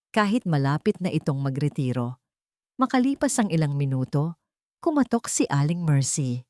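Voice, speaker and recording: A woman's voice: low-pitched, darkish tone, dry recording, clean studio sound. A woman narrating a scripted dramatic story as a storyteller.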